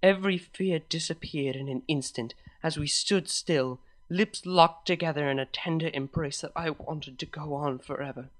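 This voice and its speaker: A narrator reading a first-person romantic story aloud in an expressive, emotive way. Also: mid-range voice, normal speed, few pauses. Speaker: fan fiction narrator.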